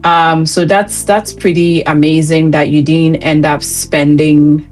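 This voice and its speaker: A woman's voice: deep voice, flat delivery, a little slow, a little mellow, faint music behind. A woman from Ghana hosting an interview, encouraging and chatty, guiding her guest with questions. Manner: encouraging, chatty, laughs lightly. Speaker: interview host. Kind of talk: conversational interview.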